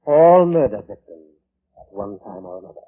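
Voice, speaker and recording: An actor playing a sinister, ominously polite coroner in formal, measured 1940s radio drama style. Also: male voice, fairly low pitch, very animated, breathy edge. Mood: coolly formal. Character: sinister courteous coroner who claims the dead. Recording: tinny muffled vintage radio recording.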